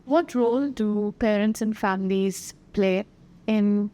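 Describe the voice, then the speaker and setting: A woman hosting a podcast, reading her introduction and questions in a smooth, clear way. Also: mid-range voice, slow pace, dark tone. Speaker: podcast host. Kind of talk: scripted interview questions.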